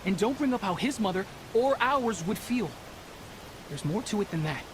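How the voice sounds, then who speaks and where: An actor playing a stern, skeptical teenage Spartan brother in a video game, blunt and serious. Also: male voice, sits high, expressive, normal speed, background noise. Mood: stern, doubting. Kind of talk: cinematic video game acting. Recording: music behind the voice.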